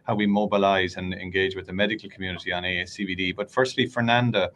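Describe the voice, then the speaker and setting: Male panel moderator, lowish voice, faint rumble behind. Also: fairly even intonation, fast, fairly bright tone. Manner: inviting, restarts when asking.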